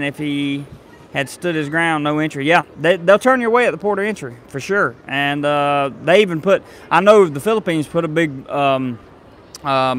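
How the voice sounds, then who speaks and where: A man's voice: a little high, a bit bright. A man, reading out viewer comments in a rambling, casual live stream. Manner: rambling, full of fillers and restarts. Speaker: travel vlogger reading live chat. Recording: faint street noise behind.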